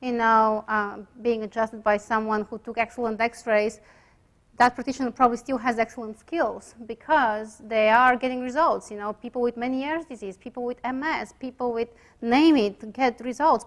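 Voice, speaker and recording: Bulgarian-accented woman lecturing, slightly high voice. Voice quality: hesitant, many fillers and restarts. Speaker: chiropractic radiologist lecturer. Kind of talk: informal lecture to students. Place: lecture room.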